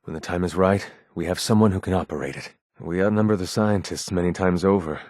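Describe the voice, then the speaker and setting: An actor voicing a guarded, earnest male android rebel leader in a video game, with formal, measured, grave speech and a husky voice. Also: low voice, a little mellow, dry recording, studio quality, natural voice. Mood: urgent, guarded. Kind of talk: scripted game character dialogue.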